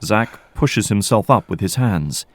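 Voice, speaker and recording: A man's voice: fairly low pitch, lively intonation, unhurried, dry recording. A man giving scripted narration as the storyteller of an audio drama.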